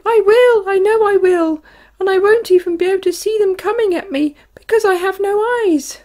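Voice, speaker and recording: Storybook earthworm, timid and panicky, high-pitched wailer; voiced by a woman. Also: moderate pace, mellow. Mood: wailing. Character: fretful, whimpering little earthworm.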